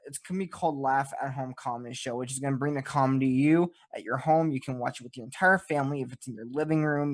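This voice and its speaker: A man explaining a project in casual promotional talk, listing things out with hesitant pauses. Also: medium pitch, no room sound.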